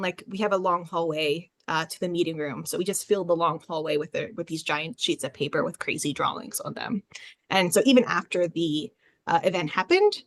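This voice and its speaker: A woman's voice: on the low side, a bit bright, dry recording. A woman speaking informally as an academic librarian on a discussion panel, explaining things in a rambling, conversational way.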